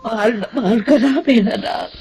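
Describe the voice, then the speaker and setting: A woman's voice: on the high side, brisk, a little mellow, lightly acted. A woman playing a hurt, tearful, loving middle-aged mother in a radio drama. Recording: music under the voice.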